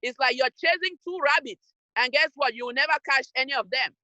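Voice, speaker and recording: A woman speaks high and bright straight to young listeners. She presses each point with firm, scolding emphasis. The sound is close and dry, coming through a video-call mic. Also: a little fast, no room sound, highs cut off. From Cameroon. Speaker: youth program speaker. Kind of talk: motivational talk to young people.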